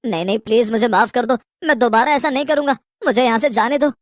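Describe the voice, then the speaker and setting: A woman's voice: on the high side, quick pace, no room sound, studio quality, close to an everyday voice. A woman voicing a greedy, guilty man turned reluctant, grumbling ghost in a horror cartoon story. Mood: frightened, pleading. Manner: nervous, protesting, then grudgingly obedient.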